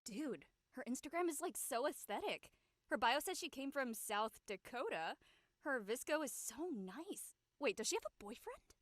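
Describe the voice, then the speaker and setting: A brash teenage girl, acted by a woman, teasing and gossipy in slangy drama dialogue. Her voice is high and bright, very animated and recorded dry and close. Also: moderate pace, no echo. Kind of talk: audio drama acting, casual dialogue.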